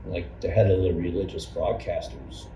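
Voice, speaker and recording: A man recalling church life in a casual group interview, haltingly rephrasing as he goes. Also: sits low, steady tone, mellow. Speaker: church member recalling past challenges. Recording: echoey room, distant mic, clear noise.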